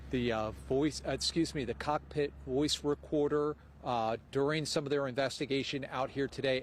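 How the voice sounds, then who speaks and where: A man's voice: mid-range voice, slight background noise. An American man reporting live for TV news from outdoors at an airport.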